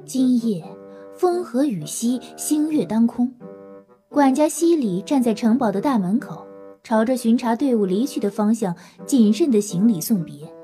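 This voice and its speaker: A woman's voice: a little high, animated, moderate pace, dark tone, faint music behind. A woman narrator telling a story in scripted audio drama style.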